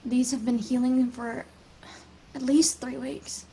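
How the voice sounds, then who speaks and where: A woman's voice: high voice, little pitch movement, slow pace, mellow, slight background noise, poor audio. A woman playing a capable, caring young castaway with medical training in a sci-fi table read, focused and questioning. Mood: concerned, focused.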